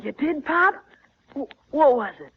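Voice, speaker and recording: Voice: sits high, lively intonation, unhurried. A performer playing an earnest, preachy thirteen-year-old boy, the loyal son in a 1940s radio comedy. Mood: eager. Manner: earnest, sententious. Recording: muffled old-time radio broadcast.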